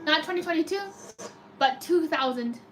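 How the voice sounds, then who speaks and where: High, robotic-sounding woman streamer. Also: normal speed, soft background music. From United States. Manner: chatty, excitable cheering at spins. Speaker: slot machine live streamer. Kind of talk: live stream commentary reading chat.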